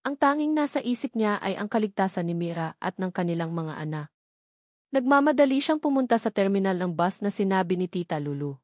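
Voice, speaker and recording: Female audiobook narrator for melodrama: mid-pitched, scripted dramatic delivery, dry close sound. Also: big pitch swings, brisk, dry recording.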